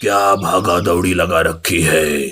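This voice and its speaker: A man as a deep, stern lion king. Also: fairly even intonation, slow pace, bright, forceful tone, slight background noise, exaggerated voice. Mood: angry, scolding. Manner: scolding, authoritative. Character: stern commanding male lion, king of the jungle. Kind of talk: Hindi cartoon moral story dialogue.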